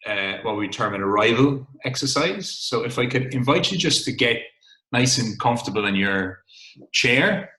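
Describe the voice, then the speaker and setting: A man in his thirties with a Cork Irish accent, calmly leading a wellbeing webinar and gently inviting listeners into an exercise. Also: mid-range voice, moderate pace, echoey room, low-quality recording. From Ireland. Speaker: workshop facilitator. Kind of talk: webinar presentation. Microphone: video call.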